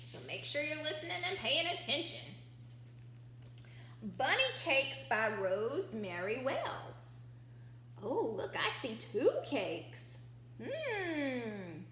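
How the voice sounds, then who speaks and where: A woman's voice: sits high, sing-song, takes it slowly, bright, forceful tone. A woman teacher reading a picture book aloud to young children, explaining simply and asking prompting questions. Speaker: early-years teacher. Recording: echoey room with faint background hum.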